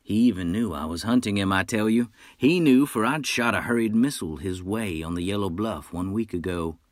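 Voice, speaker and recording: A man voicing a loud, talkative male wolf hunter who brags in a folksy, brash way, in a storybook reading. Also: lowish voice, a little fast, dry recording, clean studio sound, lightly acted. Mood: boastful.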